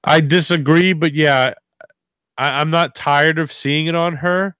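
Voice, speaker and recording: A man's voice: on the high side, fairly even intonation, a little slow, fairly bright tone, clean tone, dry recording. A man co-hosting a podcast in casual, sarcastic, rambling banter with plenty of swearing. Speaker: wrestling podcast co-host.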